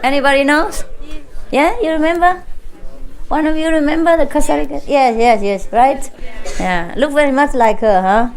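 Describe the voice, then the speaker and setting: A woman's voice: sits high, moderate pace, soft background music. A woman with a Vietnamese accent speaking informally to a gathering as a spiritual teacher, playful and teasing, often laughing as she talks. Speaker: spiritual teacher addressing followers. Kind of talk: informal talk with joking banter to an audience. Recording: clear background noise, live gathering.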